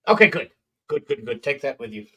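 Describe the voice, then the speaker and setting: A man's voice: mid-range voice, sing-song, fairly quick, a bit bright. A man hosting a casual podcast over a video call, joking and rambling with his guests. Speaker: podcast host. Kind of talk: casual chat on a livestream.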